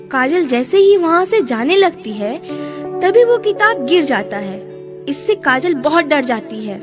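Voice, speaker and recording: A woman storyteller with a high-pitched voice and very lively intonation, reading scripted narration for a family moral tale. Music plays behind her.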